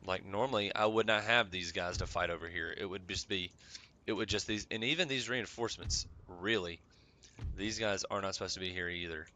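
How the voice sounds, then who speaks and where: A young man with a slightly raspy voice, rambling casually with many ums while commenting on a strategy game. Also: sits low, fairly quick, pushed and bright. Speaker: gaming channel host.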